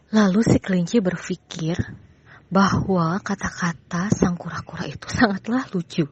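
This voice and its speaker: A woman's voice: fairly low pitch, normal speed, breathy edge, dry recording, dull audio. A woman narrating a scripted children's story as a storyteller.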